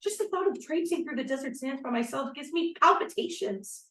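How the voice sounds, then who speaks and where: A woman's voice: high-pitched, animated, brisk, a bit bright. A woman acting as an earnest young 1940s bride-to-be who longs for home and family, in a script read-through. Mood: defiant. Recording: distant, echoey room microphone.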